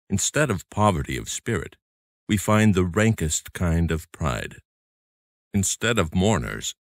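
A man with a deep voice narrates a devotional book at an unhurried pace. His delivery is solemn and deliberate, with plenty of rise and fall in the phrasing. He reads from a script in careful, measured phrases. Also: dry recording, studio quality. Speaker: audiobook narrator.

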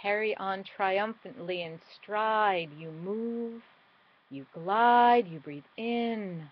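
A middle-aged woman delivers spoken-word poetry at an unhurried, deliberate pace. Her phrasing is theatrical, shifting between emphatic and hushed, in a mid-range voice. The sound is muffled and lo-fi, as from a webcam at home. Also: mid-range voice. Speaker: poet. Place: small indoor room at home.

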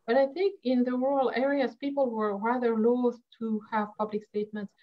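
A woman from France with a French accent, a history professor speaking on an online panel, pausing often with fillers and restarts. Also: fairly high pitch, level tone, highs cut off. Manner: halting, many fillers and restarts. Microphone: video call.

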